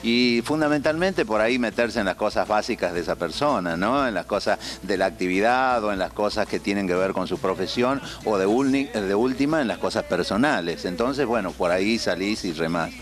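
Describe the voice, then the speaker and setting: A man in his fifties with an Argentine accent, a TV host interviewed in a studio, talking in a measured, earnest way with many "eh" pauses. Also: on the low side, expressive. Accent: Argentine Spanish. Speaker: TV host and producer as guest. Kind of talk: casual interview. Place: TV studio set, on a couch. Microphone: handheld mic held by interviewer.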